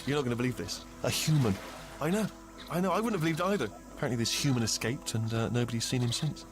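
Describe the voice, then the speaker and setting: An English actor playing a bumbling, chatty robot sidekick in a video game, rambling, stammering and correcting himself in a flustered way. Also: male voice, medium pitch, animated, quick pace, over music, close to an everyday voice. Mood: gossipy. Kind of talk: animated comic video game acting.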